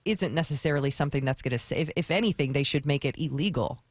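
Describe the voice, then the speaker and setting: A woman's voice: low-pitched, quick pace, no echo. A woman co-hosting a radio show, talking casually and off the cuff at a close studio mic. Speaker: radio co-host. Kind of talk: casual unscripted discussion. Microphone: close studio microphone.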